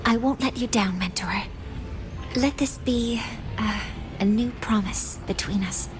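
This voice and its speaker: A woman voicing an earnest, devoted young female researcher in a video game, with measured, softly formal delivery. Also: fairly high pitch, music in the background, lightly acted. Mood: tender, concerned. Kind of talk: scripted video game dialogue.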